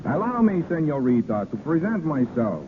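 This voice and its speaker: High, comic Spanish-accented guide. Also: male voice, sing-song, normal speed. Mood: comic flourish. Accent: Spanish, put on. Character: mock-courtly Latin tour guide, exuberant, comic. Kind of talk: 1930s radio acting. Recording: scratchy narrowband 1930s radio recording.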